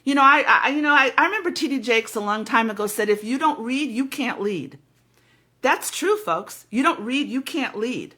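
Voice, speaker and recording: A woman with a loud voice giving a fervent spiritual teaching, emphatic and repeating words as she goes. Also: a little high, animated, normal speed, slightly rough.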